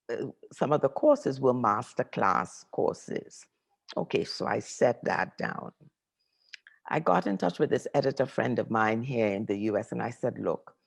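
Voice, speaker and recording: An older woman from Trinidad speaks with a notably deep, gravelly voice, full of vocal fry. She tells her story in an interview the way a professor would, unhurried and reflective, often repeating a phrase to let it land. Her delivery is conversational and thoughtful.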